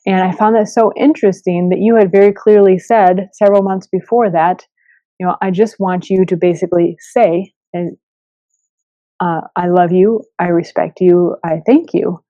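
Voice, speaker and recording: A woman's voice: on the low side, steady tone, normal speed, mellow. A woman hosting a podcast in a conversational style, with a dull, echoey recording. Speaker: podcast host. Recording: dull, echoey room sound.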